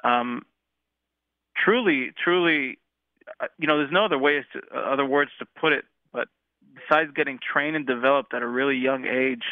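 A man's voice: medium pitch, normal speed, smooth, no echo. A man in his thirties with an American accent, a guest telling his story in an earnest, reflective way, with many fillers and restarts. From Israel, raised in the United States. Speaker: interview guest, musician. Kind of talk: casual interview storytelling. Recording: tinny phone-line sound.

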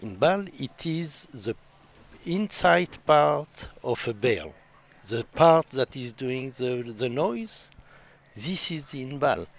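A man, a hotel manager answering interview questions slowly, with many "uh" fillers. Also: medium pitch, animated, creaky. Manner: hesitant, many "uh" fillers, non-native phrasing.

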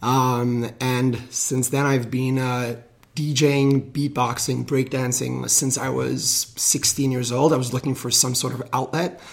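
Energetic thirties man, radio guest, mid pitch, eager. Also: unhurried, vocal fry. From Israel. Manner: enthusiastic, energetic. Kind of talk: casual radio interview.

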